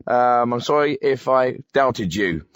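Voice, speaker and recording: An actor playing a defensive, tactless English radio phone-in host, blunt but often hesitant and flustered. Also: male voice, mid-range voice, lively intonation, slow pace. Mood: sheepish. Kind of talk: spoof live radio phone-in.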